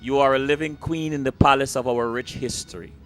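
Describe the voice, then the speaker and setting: Casting: man, host, mid-pitched, effusive and gracious, faint music under. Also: fairly even intonation, brisk. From Guyana. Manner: warm, effusive, repeats "and, and". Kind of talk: interview wrap-up.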